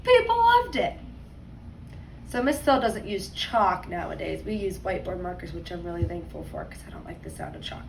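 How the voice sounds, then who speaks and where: A woman teacher reading a picture book aloud to young children, expressively and deliberately, stressing words and adding conversational asides. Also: lowish voice, huge pitch swings, vocal fry. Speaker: second grade teacher. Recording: roomy echo, distant mic, faint noise.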